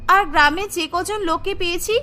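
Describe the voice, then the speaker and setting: A woman's voice: extremely high pitch, brisk, pushed and bright. A woman voicing a kind, earnest young village wife in a cartoon, speaking expressively. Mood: worried. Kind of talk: cartoon story dialogue.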